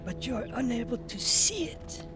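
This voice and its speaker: An actress playing a solemn, mystical alien guide in a game cutscene, speaking in a cryptic, deliberate way. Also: female voice, fairly high pitch, big pitch swings. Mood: cryptic, instructing. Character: solemn mystical female alien elder guiding a chosen hero. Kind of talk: cinematic game cutscene acting. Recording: loud cinematic music behind. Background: loud music.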